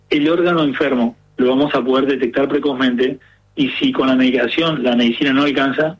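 Husky Argentine gerontologist on radio. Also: male voice, mid-range voice, highs cut off. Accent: Argentine Spanish. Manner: earnest, explaining step by step with many fillers. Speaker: gerontologist guest. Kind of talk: explanatory radio interview.

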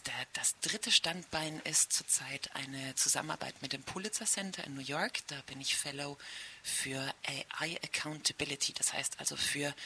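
Very bright-voiced woman with faint hiss. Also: a bass voice. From Germany. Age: middle-aged. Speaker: foreign correspondent, interview guest. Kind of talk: spontaneous interview answers. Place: radio studio.

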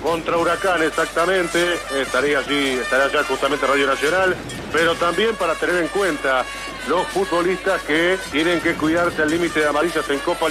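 Sideline radio reporter. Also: male voice, a little high. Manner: informative, with some stumbles.

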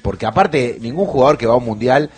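A man's voice: medium pitch, fast, slightly rough. A man with an Argentine accent co-hosting a radio show, explaining things with emphasis. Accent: Argentine Spanish. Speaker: radio co-host. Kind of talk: casual radio chat.

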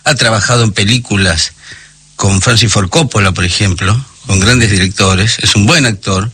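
A man with a Buenos Aires accent presenting music on the radio, hoarse today, explaining things at length with many pauses and fillers. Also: fairly low pitch, fairly bright tone. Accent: Porteño Argentine Spanish. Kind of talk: casual radio chat.

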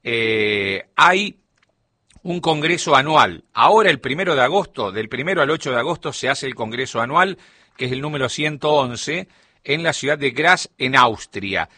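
A man's voice: medium pitch, lively intonation, moderate pace, pushed and bright, no room sound. A middle-aged man with a Rioplatense Spanish accent, explaining a topic as a radio columnist in a studio chat. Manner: explanatory, storytelling delivery.

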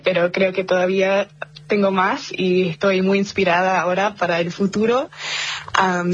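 A young woman in her twenties, from Argentina but raised in the United States, speaks Spanish with an American tinge in an upbeat, halting way, often restarting her phrases during a phone interview. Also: mid-range voice, breathy edge. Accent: Argentine Spanish with an American English tinge. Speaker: athlete guest. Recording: dull lo-fi phone line.

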